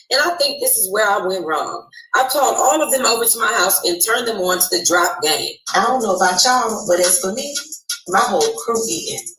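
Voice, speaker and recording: A woman's voice: on the high side, a bit bright, roomy sound, poor audio. A woman playing a brash, loyal young female hustler telling her story in slangy, street-wise audio drama narration. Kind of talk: dramatised first-person narration.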